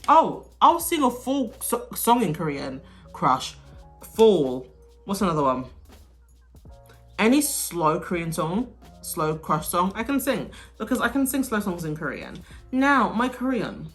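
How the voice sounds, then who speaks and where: A woman's voice: mid-range voice, fairly bright tone. A young woman from the UK chatting casually through a get-ready-with-me Q&A, full of "like" and restarts. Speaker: vlogger, teacher in Korea.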